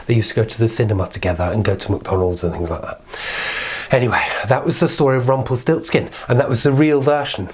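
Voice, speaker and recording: A man's voice: fairly low pitch, darkish tone, a little hiss or hum. A man telling a children's fairy tale in an informal, improvised, playful way, repeating words for emphasis. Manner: playful, conversational, with emphatic repetition. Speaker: children's storyteller.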